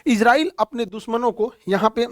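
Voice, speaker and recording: A male teacher with a notably high voice explains world events in a blunt, animated, folksy way. He leans on homely comparisons and repeats himself for emphasis. The recording is very dry and close. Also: moderate pace, no echo. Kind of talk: explanatory lecture on current affairs.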